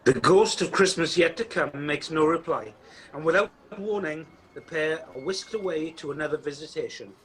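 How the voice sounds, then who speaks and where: A man's voice: fairly high pitch, normal speed, fairly bright tone, close to an everyday voice, rough recording. A man playing a wry storytelling narrator in an amateur radio play. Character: wry storybook narrator of a Christmas ghost tale. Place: at home, recorded over video call. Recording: faint noise, home video-call recording.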